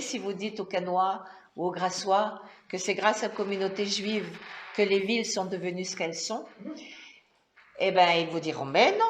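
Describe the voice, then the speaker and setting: Woman presenting her book, half reading half off the cuff, roomy echo, normal pitch. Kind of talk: book talk, partly read aloud with asides. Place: indoor talk venue. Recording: echoey room.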